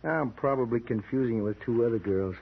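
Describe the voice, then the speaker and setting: A man's voice: mid-range voice, a little fast, vocal fry, slightly rough. An actor playing a flippant, gleeful henchman with teasing menace in an old-time radio crime drama. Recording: tinny, noisy old radio recording.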